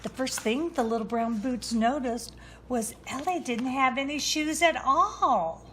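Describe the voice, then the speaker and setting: A woman reading a children's storybook aloud in a deliberate way. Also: sits high, fairly bright tone, slight background noise. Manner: slow, deliberate narration. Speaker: storybook reader.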